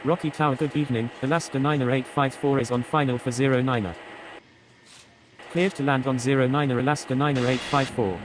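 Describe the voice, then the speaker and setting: Medium-pitched male pilot played by a man, courteous procedural calls, clear engine noise behind. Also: steady tone, fairly quick, long pauses, lightly acted. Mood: businesslike. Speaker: airline pilot on radio. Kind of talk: procedural air traffic radio calls.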